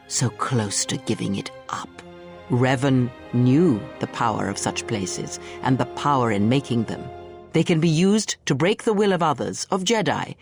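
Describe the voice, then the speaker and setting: An imperious old female Sith master from a video game. She preaches with slow, theatrical scorn and bitter authority, over music. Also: extremely low pitch, exaggerated voice. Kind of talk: dramatic video game monologue. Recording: music behind.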